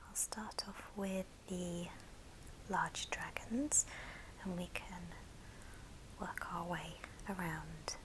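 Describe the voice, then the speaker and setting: A woman whispering gently and breathily in an unhurried ASMR show-and-tell style. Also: lowish voice, fairly even intonation, noisy background. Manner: gentle, unhurried, soft-spoken. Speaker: ASMR video creator.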